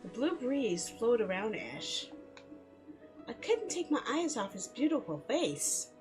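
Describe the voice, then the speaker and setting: A woman's voice: fairly high pitch, sing-song, takes it slowly, darkish tone, soft background music. A woman streaming a game, chatting casually and reading story text aloud in a playful way. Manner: giggly, playful, chattering to herself. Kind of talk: casual livestream chat with visual novel read-alouds.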